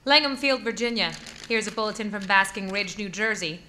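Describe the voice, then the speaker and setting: A woman's voice: medium pitch, a little fast, sharp tone, a little hiss or hum, natural voice. A woman playing a serious radio operator, formally relaying emergency war bulletins in 1930s radio drama style. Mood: grave. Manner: formal, emphatic.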